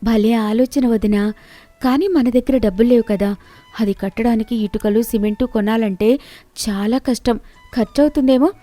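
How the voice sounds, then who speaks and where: A woman's voice: a little high, moderate pace, dark tone, no echo, soft background music, natural voice. Woman voicing an eager, practical young village sister-in-law. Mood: doubtful.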